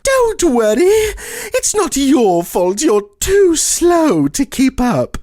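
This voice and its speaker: A woman's voice: high voice, wildly swooping pitch, slow pace, mellow, no room sound, clean studio sound, exaggerated voice. A woman voicing a haughty, eerily sweet ballerina animatronic in a horror game, dramatic and lilting in delivery. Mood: haughty disdain.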